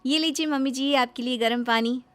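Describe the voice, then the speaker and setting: A woman acting as a young daughter-in-law from a cartoon family tale, in a high voice. She is deferential and eager to please, pleading gently and apologizing as she goes. Music plays behind her. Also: brisk, lightly acted. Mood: polite, obliging. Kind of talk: Hindi animated moral story acting.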